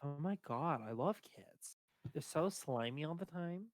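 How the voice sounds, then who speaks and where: A man with a big, booming voice talks loosely on a stream, hunting for words and restarting often. His sound is dry and close, with no room around it. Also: animated, measured pace, dry recording. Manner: rambling, hesitant with many fillers. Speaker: streamer discussing his game character. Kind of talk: casual chat.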